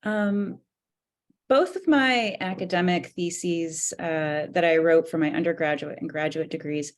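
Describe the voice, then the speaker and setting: A young adult woman speaking as a panel guest in a measured, academic way. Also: on the low side.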